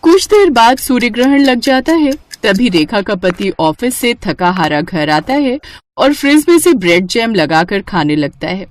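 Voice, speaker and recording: Lively woman storyteller with a highish voice. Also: measured pace. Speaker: story narrator. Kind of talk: scripted narration of a cartoon family story.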